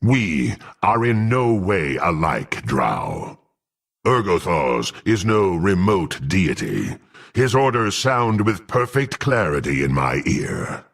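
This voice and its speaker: A menacing half-orc warrior, with a very deep, gravel-filled and raspy voice. He speaks slowly and haughtily, dripping scorn as in a fantasy video game. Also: very animated, a bit bright, exaggerated voice. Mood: proud, stern. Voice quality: gruff, gravelly.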